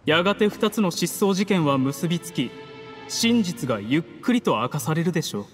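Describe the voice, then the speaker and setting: 男性の声優がゲームで演じる、礼儀正しく落ち着いた口調で脅す冷酷な策士の役人。. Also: male voice, fairly high pitch, very animated. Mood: calculating menace. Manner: courteous, measured, menacing formal speech. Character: coolly ruthless scheming male official, polite betrayer. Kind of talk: dramatic game cutscene acting in Japanese anime manner. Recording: long reverb, music underneath.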